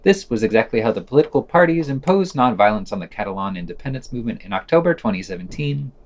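A man podcast host reading a scripted news roundup with quoted article excerpts. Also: medium pitch.

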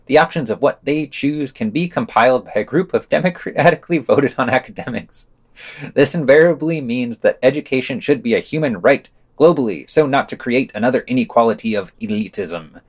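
A man's voice: mid-range voice, fairly quick. A man reading a scripted news roundup as a podcast presenter, adding wry, sardonic asides.

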